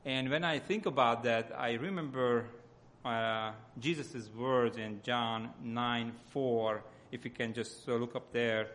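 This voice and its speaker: A man with a Hungarian accent gives an informal sermon as a lay church speaker, often halting as he searches for words. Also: medium pitch, fairly even intonation, fairly bright tone, slight background noise.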